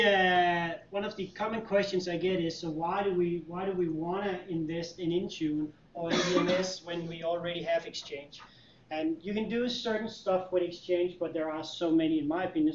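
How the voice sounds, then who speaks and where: A middle-aged man with a Danish accent, giving a relaxed, chatty tech talk with many fillers and restarts. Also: high voice, moderate pace, a little hiss or hum. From Denmark. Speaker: conference presenter, consultant. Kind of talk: user group tech presentation. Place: meeting room. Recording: echoey room, distant mic, dull lo-fi sound.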